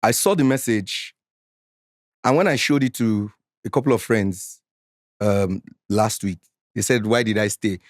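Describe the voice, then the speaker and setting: A man in his forties with a Nigerian accent, a guest in a casual interview who talks in an animated, defensive way and slips into Pidgin when he gets heated. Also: on the low side, a little slow, pauses often, no echo, clean studio sound. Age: 40s.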